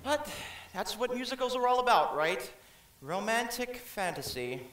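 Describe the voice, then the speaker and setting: Actor as wry musing narrator. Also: male voice, very high voice, sing-song, moderate pace, full character voice. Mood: wistful. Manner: wry, musing, dryly ironic. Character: wry narrator, theatre-loving man. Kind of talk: amateur stage musical. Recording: echoey stage from far off, loud music.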